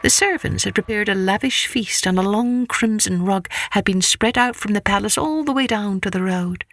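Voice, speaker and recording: A woman's voice: medium pitch, normal speed, dry recording, clean studio sound. A woman storyteller reading a scripted children's folktale expressively.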